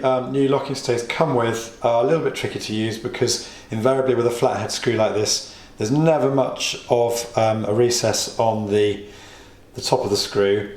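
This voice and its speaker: A British man hosting a DIY tutorial, a little hoarse as he is losing his voice, chatty and plain as he explains each step with small asides. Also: mid-range voice, roomy sound. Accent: British English. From United Kingdom. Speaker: DIY video host. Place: home workshop or kitchen.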